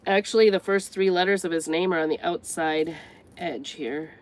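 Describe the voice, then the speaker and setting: A woman's voice: fairly low pitch, fairly even intonation, normal speed. An older woman with a stuffed-up, congested voice, chatting casually and thinking aloud as she works through a craft tutorial. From United States. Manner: chatty, rambling, thinks aloud while working. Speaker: craft vlogger.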